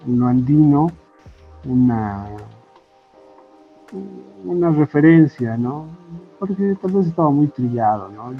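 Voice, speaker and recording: A man with a Bolivian accent talking casually as a podcast guest, halting and often ending phrases with a questioning tag. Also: mid-range voice. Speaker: podcast guest, comic artist and illustrator. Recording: muffled lo-fi audio with music. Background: background music.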